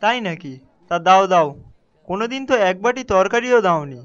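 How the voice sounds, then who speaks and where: Man putting on a high-pitched greedy cartoon fishmonger. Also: lively intonation, fairly quick. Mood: grudging, sarcastic. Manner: gruffly sarcastic, grudging. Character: greedy, crafty village fishmonger, grudging and scheming. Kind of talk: animated folk tale voice acting. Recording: dull lo-fi sound with music behind.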